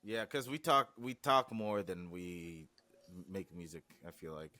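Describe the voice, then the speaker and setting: A man's voice: low-pitched, lively intonation, measured pace, bright, forceful tone, no room sound. A man whose first language is Swahili, a music producer talking animatedly in a casual interview, often trailing off and restarting.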